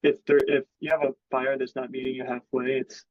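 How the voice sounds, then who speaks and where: A man's voice: mid-range voice, level tone, fairly quick, dry recording, muffled recording. A man speaking as an interview guest in sales, explaining his thinking in a casual business conversation.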